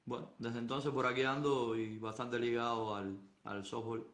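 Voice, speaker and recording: A middle-aged man, speaking at a middle pitch, recounts his past in a calm, thoughtful way full of pauses and fillers. His voice comes over a phone on a live stream and rings with an echoey room around him. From Cuba. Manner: unhurried, thoughtful storytelling with many pauses and fillers. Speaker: softball coach. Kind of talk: Instagram Live interview. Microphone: phone on a live video stream.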